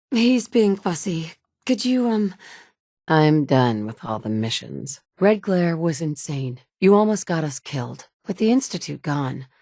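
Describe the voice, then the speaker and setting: An actress voicing the player heroine of a wasteland game, delivering short, clipped, matter-of-fact lines. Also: female voice, medium pitch, a little mellow, dry recording, studio quality. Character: woman survivor, player hero of a wasteland game. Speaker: player character.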